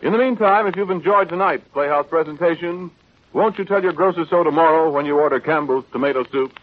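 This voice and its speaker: A man announcing and pitching the sponsor in polished, courteous 1940s radio style. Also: fast talker. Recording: muffled vintage 1940s radio broadcast.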